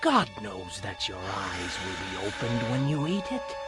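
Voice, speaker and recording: An actor playing a sly, tempting serpent in a dramatized audio Bible, with a hissing, insinuating manner. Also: male voice, lowish voice, sing-song, unhurried. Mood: coaxing, tempting. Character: sly tempting male serpent, shrewd and coaxing. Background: loud music.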